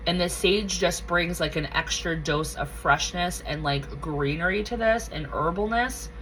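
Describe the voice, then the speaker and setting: A woman with a slightly low, bright voice reviews scents in a casual vlog. She is getting over a cold and gushes over everything, repeating her favorite words. A faint hiss sits behind her. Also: unhurried, low-quality recording. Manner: gushing, enthusiastic, repeats phrases. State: recovering from a cold, with a cough. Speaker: wax reviewer.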